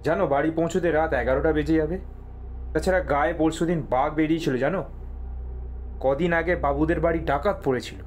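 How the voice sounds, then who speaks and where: A man's voice: fairly high pitch, steady tone, a little fast, a little hiss or hum, close to an everyday voice. An actor playing a worried, middle-aged village father in a horror audio drama, anxious and admonishing, full of questions. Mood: anxious scolding.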